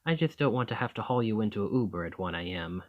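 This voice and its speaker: Charming, lively young male student, acted by a man. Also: lowish voice, quick pace, narrow, tinny audio, natural voice. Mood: friendly, coaxing.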